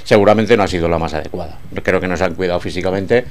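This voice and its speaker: A man talking as a sports pundit in a casual panel, measured and agreeing with the others. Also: low voice.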